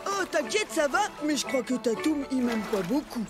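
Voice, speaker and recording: Casting: outspoken prehistoric cartoon boy, high pitch, blunt and loyal, loud music underneath. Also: expressive, moderate pace. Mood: friendly. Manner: slangy, blunt, exclamatory. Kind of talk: French cartoon dubbing.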